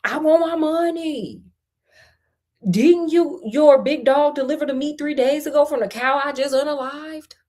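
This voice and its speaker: A woman's voice: high voice, measured pace, dark tone, voice pushed up. A woman with an American accent voicing a foolish, stubborn male peasant in a storybook, blustering and indignant as he complains.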